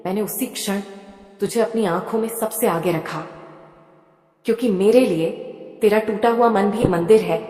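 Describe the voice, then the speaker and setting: A woman's voice: a little high, a little mellow, natural voice. A woman voicing a tender, motherly goddess who soothingly consoles a grieving devotee in an intimate devotional monologue. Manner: soothing, intimate second-person address. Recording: echoey with long hall reverb.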